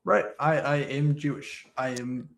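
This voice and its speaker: A man's voice: medium pitch, steady tone, a little slow, creaky. A man on a live stream call, arguing guardedly with the host. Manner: guarded, pushes back with short retorts. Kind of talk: debate with a host.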